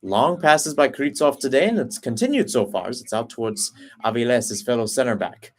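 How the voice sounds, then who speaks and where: Male soccer play-by-play commentator, running call, middle pitch, lifts and louder on attacks, headset mic. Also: expressive, fairly quick.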